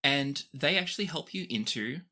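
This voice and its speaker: Game master for tabletop roleplay. Mid pitch, lively swings, forward bright tone, expressive improvised storytelling. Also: moderate pace.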